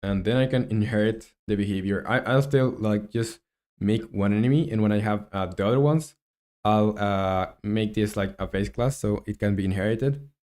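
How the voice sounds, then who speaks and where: Guy w spanish as first language, kinda low voice, casual dev stream, stops and starts while thinking out loud. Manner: hesitant, halting, thinking aloud. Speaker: live streamer, game developer. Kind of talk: casual live coding stream, reading chat.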